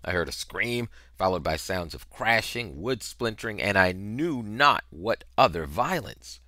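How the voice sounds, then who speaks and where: A middle-aged man narrating a novel in an expressive, dramatic style, with emphatic, deliberate phrasing on a headset mic. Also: on the low side, no room sound, clean studio sound. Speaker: reader of a children's novel.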